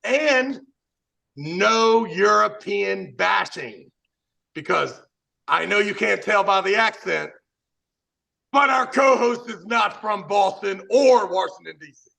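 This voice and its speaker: A man hosting a casual podcast, brash and foul-mouthed like a showman. His voice sits high and is heavily gravelly, with a bright, forceful edge. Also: takes it slowly. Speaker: podcast host.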